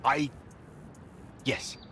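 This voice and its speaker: A man's voice: very slow, bright, forceful tone. An actor playing a flustered, self-important mage in a video game, agitated and pompous as he pleads. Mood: defensive. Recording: faint background rumble.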